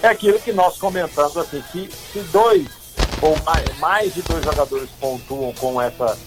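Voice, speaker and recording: A man with a slightly high voice who breaks down a basketball game in an easygoing, chatty way. He is a guest on sports radio, with faint music underneath. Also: moderate pace. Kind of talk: casual radio sports commentary.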